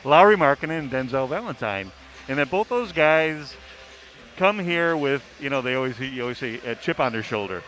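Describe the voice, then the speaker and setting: A man's voice: mid-range voice, expressive, normal speed, a bit bright. A man giving casual basketball analysis as a sports writer, informal and sometimes stumbling over his words.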